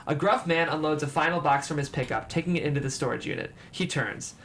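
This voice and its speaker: Higher-pitched man narrating a script. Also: quick pace, fairly bright tone. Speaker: stage-direction narrator. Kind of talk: scripted narration at a table read. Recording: clear background noise.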